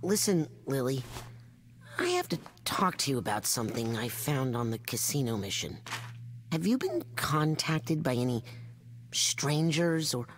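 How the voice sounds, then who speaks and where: A woman voicing an earnest, eager young boy psychic agent in a video game, hesitant and excitable. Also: very deep voice, measured pace, a little hiss or hum. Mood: cautious.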